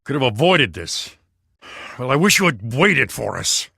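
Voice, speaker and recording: A man playing a weary, gruff police officer in a video game, with a gravelly voice. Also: mid-range voice, sing-song, a little slow, sharp tone, no room sound, studio quality, full character voice. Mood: frustrated.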